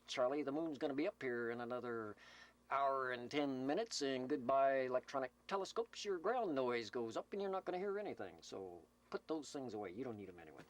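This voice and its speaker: Folksy, teasing man making community radio announcements. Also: medium pitch. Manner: joking, teasing, rambling. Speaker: CB radio announcer and host of a roll call. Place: Slab City, California desert camp. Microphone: CB radio microphone, filmed while speaking.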